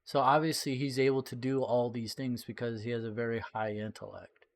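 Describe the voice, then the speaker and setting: A man's voice: mid-range voice, little pitch movement, fairly quick. A man giving casual, blunt and rambling commentary as a reaction streamer.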